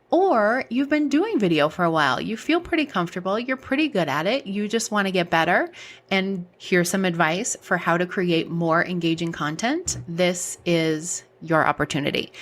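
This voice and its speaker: A woman's voice: on the low side, a little hiss or hum. A woman hosting a podcast, talking in an upbeat, chatty way and often saying "like.". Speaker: podcast host. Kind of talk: casual interview chat.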